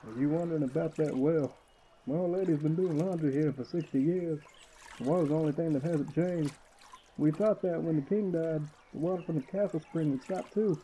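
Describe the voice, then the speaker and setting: An actor playing a kindly, folksy old waterworks caretaker in a radio play. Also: male voice, on the high side, mellow, a little hiss or hum, close to an everyday voice, poor audio. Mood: chatty, wry. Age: older.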